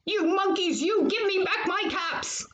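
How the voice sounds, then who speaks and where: A woman voicing an exasperated male cap peddler from a children's storybook, with emphatic, drawn-out delivery. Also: very high voice, little pitch movement, bright, forceful tone, pitched above the performer's own voice, heavily acted. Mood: scolding, angry. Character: exasperated male cap peddler, scolding then calling his wares. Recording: roomy, echoey sound.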